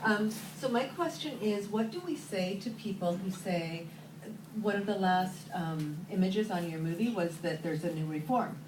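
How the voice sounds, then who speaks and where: A woman in the audience asking a long question at a university screening, loud and lively, searching for words. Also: medium pitch, normal speed. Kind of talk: public Q&A question. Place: university auditorium. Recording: echoey hall, far handheld camera, noisy.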